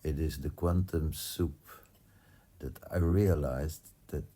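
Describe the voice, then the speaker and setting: Guy w super deep mellow voice, slow halting spiritual musing, gravelly, bit of room noise. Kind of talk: casual reflective spiritual talk.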